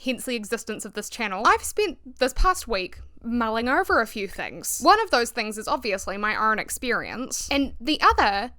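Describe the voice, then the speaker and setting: A woman's voice: on the high side, sing-song, moderate pace, hardly any pauses, sharp tone, no echo. A woman with a New Zealand accent, chatting in a talkative, self-deprecating vlog with joking asides. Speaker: YouTuber.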